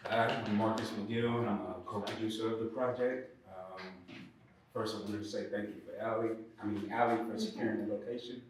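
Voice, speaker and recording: Brief: male co-producer, flat even delivery, casual thanks, distant and very echoey. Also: lowish voice, brisk. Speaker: film co-producer. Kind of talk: casual thank-you speech. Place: rehearsal studio. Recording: very echoey room, distant, group chatter.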